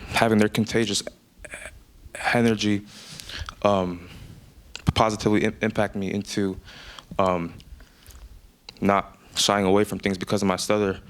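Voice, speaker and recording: Young man speaking with a stutter. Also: fairly low pitch. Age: young adult. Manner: stutters audibly with repetitions and fillers. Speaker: student speaker. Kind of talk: prepared personal speech. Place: event speech.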